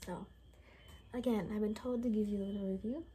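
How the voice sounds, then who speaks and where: A young woman speaking casually in a hushed, half-whispered ASMR roleplay style, close to the mic in a room. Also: medium pitch, steady tone, a little fast. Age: young adult. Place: small furnished room indoors. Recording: noticeable background noise.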